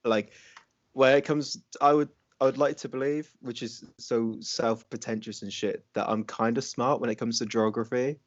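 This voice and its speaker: A man's voice: medium pitch, steady tone, moderate pace, highs cut off. A young man from Wales, with an accent that mixes English, American and Welsh, co-hosting a podcast in casual, rambling talk full of ums and restarts. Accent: mixed English, American and Welsh. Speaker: podcast co-host. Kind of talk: casual chat.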